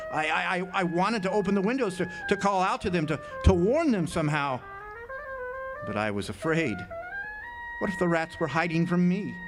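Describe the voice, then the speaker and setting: Radio play: haunted male lighthouse keeper, played by a man. Jittery, halting delivery, dramatic pauses, music underneath. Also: on the high side, natural voice, rough recording. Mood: tense, fearful. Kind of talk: old-time radio drama monologue. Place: live amphitheater stage performance.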